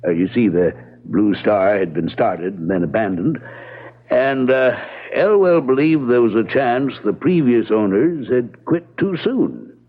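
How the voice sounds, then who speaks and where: Evasive attorney on tinny old radio. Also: male voice, mid-range voice, animated. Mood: hedging. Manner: hedging, measured, matter-of-fact. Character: reserved, evasive male small-town American attorney. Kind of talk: old-time radio drama acting. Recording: tinny, narrow-band vintage radio broadcast.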